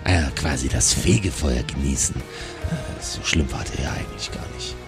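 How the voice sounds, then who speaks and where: A man's voice: a bass voice, expressive, normal speed, over music, pitched below the performer's own voice. A man playing a suave, polite devil with a smug, condescending bureaucratic manner in a tabletop audio play. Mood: dry, condescending. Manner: courteous, smooth, condescending.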